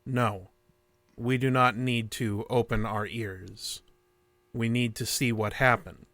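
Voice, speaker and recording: A man's voice: mid-range voice, steady tone, slow, fairly bright tone, no echo. A man playing a cold alien interrogator in an audio drama, speaking formally and insistently for a collective.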